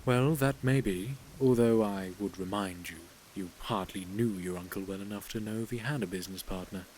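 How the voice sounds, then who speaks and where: A prim, mysterious man, played by an actor in an audio drama. His voice sits fairly low, and he speaks in formal, measured phrases, polite yet evasive. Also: darkish tone, a little hiss or hum, natural voice, rough recording. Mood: formal, guarded. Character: Caleb, prim, mysterious male business partner.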